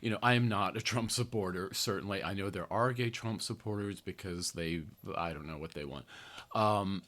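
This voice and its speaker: An older American man hosting a podcast, reading his own article aloud in an earnest, deliberate way with conversational asides. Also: deep voice, a bit bright. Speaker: podcast host, therapist and activist writer.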